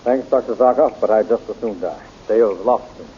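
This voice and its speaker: An actor playing a brave, defiant young hero who speaks boldly, on a muffled old radio recording. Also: male voice, lowish voice, brisk, reverberant, natural voice. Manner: bold, defiant. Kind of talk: 1930s radio drama acting.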